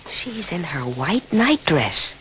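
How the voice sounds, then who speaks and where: A woman's voice: on the low side, very animated, takes it slowly, a bit bright, heavily acted. A woman playing a bold, stubborn young boy, an elder brother in a 1940s radio horror drama. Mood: wary. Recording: slightly noisy old radio recording.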